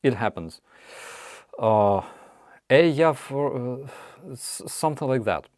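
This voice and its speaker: A man with a Ukrainian accent hosting a casual live chat, answering viewer questions in halting, apologetic English with many restarts. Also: mid-range voice, animated, darkish tone, no room sound, studio quality. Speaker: livestream host.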